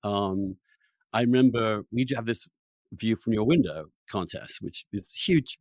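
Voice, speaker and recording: A man hosting a conversational interview as a journalist, opinionated and emphatic, with halting restarts. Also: lowish voice, a little mellow, no echo. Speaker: podcast host, journalist.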